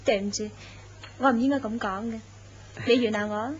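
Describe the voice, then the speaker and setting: An actress playing a tycoon's scheming young mistress in a horror radio drama, teasing and knowing, often slipping into soft laughs. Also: female voice, a little high, steady tone, normal speed, slight background noise, natural voice. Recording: dull lo-fi recording.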